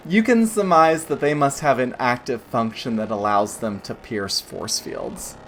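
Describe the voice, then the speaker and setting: A woman's voice: very deep voice, a little slow, slight background noise. A woman narrating a tabletop game as game master, loose and giggly with lots of fillers.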